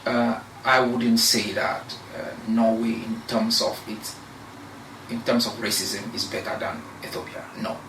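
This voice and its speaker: A young man from Cameroon, a law researcher, giving measured, argumentative answers as an interview guest, with pauses and restarts. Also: medium pitch, level tone, distant mic. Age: young adult. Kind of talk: interview discussion. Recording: echoey room, voice off-mic, faint noise.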